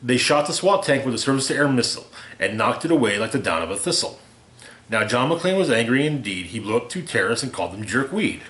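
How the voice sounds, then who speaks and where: A man reading a rhyming holiday parody aloud as a storyteller, with theatrical, deliberate delivery. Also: medium pitch, animated, fairly bright tone. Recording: roomy, echoey home recording.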